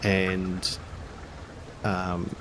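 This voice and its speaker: A man narrating a tabletop game as game master, improvising in a halting way as if thinking aloud. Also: deep voice, monotone, noisy background.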